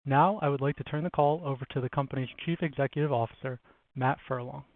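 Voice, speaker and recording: A man's voice: medium pitch, steady tone, fast, no room sound. A man reading the formal legal disclaimers and introducing the chief executive on a conference call. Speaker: call operator introducing the speaker. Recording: dry phone-line conference audio.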